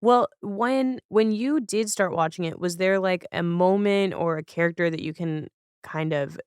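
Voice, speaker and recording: A man's voice: high-pitched, moderate pace, clean tone, dry recording, studio quality. A man hosting a conversational interview, attentively asking long, thoughtful questions. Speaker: podcast host.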